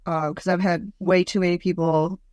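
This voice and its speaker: Deep-voiced woman in her forties. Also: normal speed, no room sound, studio quality. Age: 40s. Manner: lively, storytelling, mocking asides. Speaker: guest, comedian. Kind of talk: casual interview chat.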